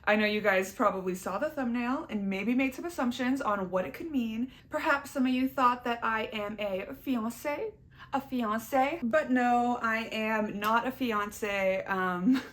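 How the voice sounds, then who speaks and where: A woman's voice: fairly high pitch, measured pace, a bit bright. A young woman with a Canadian accent giving a casual, candid personal update to her viewers, chatty and emphatic. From Southern Ontario, Canada. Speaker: YouTuber.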